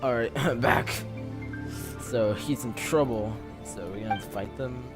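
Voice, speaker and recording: A woman giving casual, halting gameplay commentary as a streamer. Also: extremely low pitch. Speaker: game streamer. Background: loud game music.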